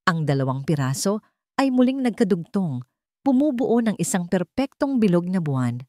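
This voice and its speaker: A woman narrates a sentimental tale in a low, soft-edged voice. Her delivery is measured and earnest, like a storyteller reading from a script, and the sound is very dry and close. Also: big pitch swings, no room sound.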